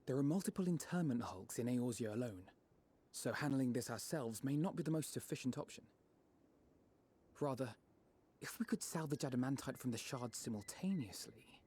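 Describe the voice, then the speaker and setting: A man playing an earnest, well-spoken young strategist in a video game, eloquent and deliberate. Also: mid-range voice, expressive, warm tone, breathy edge. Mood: resolute. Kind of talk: video game cutscene acting.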